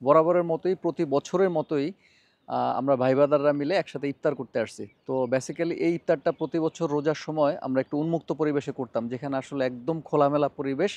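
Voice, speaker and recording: A Bangladeshi man with a slightly high voice narrates a casual vlog. His talk is informal and sprinkled with English words, as a vlogger showing viewers around. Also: fairly even intonation, fast talker, a little mellow, dry recording, lo-fi sound.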